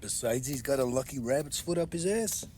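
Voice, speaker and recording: A man's voice: medium pitch, animated, brisk, darkish tone, slight background noise, natural voice. A man playing a streetwise, sardonic and assured mafia adviser in a game cutscene. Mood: wry, sardonic. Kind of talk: dramatic video game cutscene acting.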